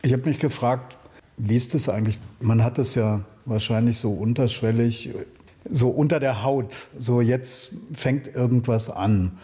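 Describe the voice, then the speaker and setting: A man's voice: mid-range voice, steady tone, a little slow, mellow. A middle-aged man interviewing a guest in a casual, conversational way, phrasing questions informally and thoughtfully. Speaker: interviewer, journalist.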